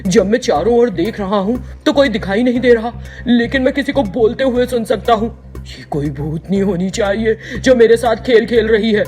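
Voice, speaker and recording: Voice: mid-range voice, moderate pace, a little mellow, faint music behind, lightly acted. An actor voicing a proud, defiant village man in a cartoon story, indignant and declamatory. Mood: suspicious. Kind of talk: Hindi cartoon moral story voice acting.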